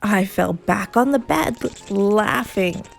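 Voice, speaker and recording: A woman reading a children's storybook aloud as an expressive narrator. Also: medium pitch, slow pace, creaky. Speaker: story reader, channel host. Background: music and sound effects.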